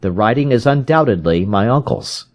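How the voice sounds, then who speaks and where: A man's voice: mid-range voice, lively intonation, moderate pace, a little mellow, natural voice. A man voicing a helpless, frightened young client in an audiobook, with earnest and formal Victorian diction. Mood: hesitant, polite. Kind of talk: audiobook character voice in a detective story.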